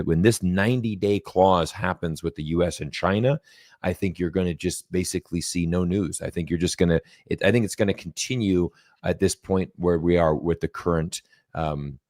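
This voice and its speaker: A man from Singapore hosting a livestream, talking in a casual, animated way with plenty of fillers and acted-out quotes. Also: low-pitched, no room sound, studio quality. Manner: animated, mimics quoted exchanges, many fillers. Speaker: channel host. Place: lives in Las Vegas.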